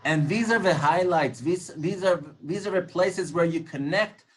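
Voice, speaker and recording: A man's voice: high voice, moderate pace, fairly bright tone. Man lecturing, earnest and reflective. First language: Hebrew. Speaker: tour guide instructor. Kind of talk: informal lecture on guiding.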